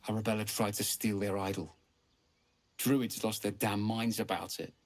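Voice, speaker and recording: A man's voice: on the low side, little pitch movement, moderate pace, close to an everyday voice. Weary, worried father played by a man. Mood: resigned, exasperated.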